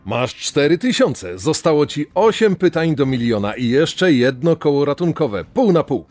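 Guy doing lively quiz show host, higher pitched, swingy delivery, music under it. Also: normal speed, dry recording. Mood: upbeat. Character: brisk, animated male TV quiz show host. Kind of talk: dramatized game show presenting.

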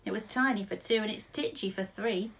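A woman voicing a flustered, grumbling little old lady from a storybook, pleading and exclaiming with drawn-out words. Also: mid-range voice, creaky, a bit bright. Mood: distressed.

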